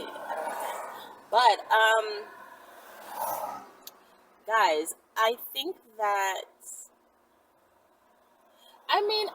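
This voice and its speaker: A woman's voice: a little high, noisy background. A woman talking casually and candidly to her viewers as a vlog host, with blunt, slangy, profane phrasing. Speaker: channel host answering viewers' questions.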